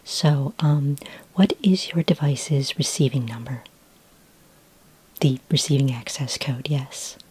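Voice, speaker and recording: A woman speaking in a soft, breathy whisper as a friendly, patient librarian in a gentle ASMR roleplay. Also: rumbling low, slight background noise. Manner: gentle, unhurried, helpful customer-service patter. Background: computer keyboard typing.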